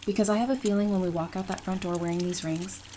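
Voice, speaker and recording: A woman's voice: mid-range voice, steady tone, fast, dark tone, close to an everyday voice. Woman playing an affectionate newlywed bride, noisy recording. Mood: playful. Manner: casual, affectionate, teasing. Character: affectionate, playful newlywed bride, practical and bright-minded. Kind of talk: audio drama dialogue.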